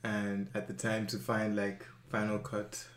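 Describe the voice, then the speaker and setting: Young man, lowish voice, rambling casual talk, roomy echo. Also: monotone, a little slow. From Nigeria. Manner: rambling, many fillers and self-corrections. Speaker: interview guest, filmmaker. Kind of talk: casual interview chat.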